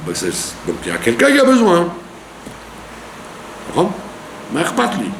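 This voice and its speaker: Man, rabbi, sermon, fairly high voice, lively intonation, echoey room. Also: moderate pace. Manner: animated teaching, with rhetorical questions and frequent "d'accord?". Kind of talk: Torah lesson sermon. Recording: echoey room with faint hum.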